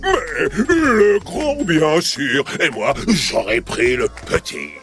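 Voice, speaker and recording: Creaky, wildly animated cartoon blusterer. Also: male voice, fairly high pitch, takes it slowly, heavily acted. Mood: indignant. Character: blustering, boastful, indignant male cartoon townsman. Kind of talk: French children's cartoon voice acting.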